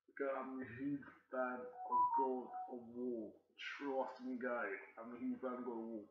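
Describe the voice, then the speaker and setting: Young guy hyped up and rambling over a game video, repeats himself a lot, flat monotone delivery, echoey dull-sounding room. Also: mid-range voice, soft background music. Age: 20s. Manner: repetitive, excited, halting with many restarts. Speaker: gaming reaction host. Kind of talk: rambling casual reaction commentary. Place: indoor room at home. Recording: roomy, slightly dull home recording.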